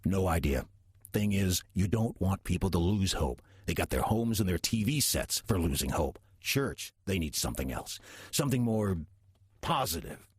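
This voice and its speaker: An actor playing a genial, wry old demon who passes as a folksy small-town churchgoer in an audio drama, with a husky, drawling voice. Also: male voice, low-pitched, sing-song, moderate pace, dry recording, studio quality, exaggerated voice. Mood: folksy, musing. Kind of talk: audio drama dialogue and narration.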